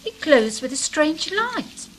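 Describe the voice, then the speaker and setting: A woman playing an idealistic, earnest angel in an audio drama, speaking in a measured, philosophical way. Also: sits high, big pitch swings, fairly bright tone, a little hiss or hum, exaggerated voice. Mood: solemn. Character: idealistic, earnest female angel, wistful and stately. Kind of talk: stage-style audio drama acting.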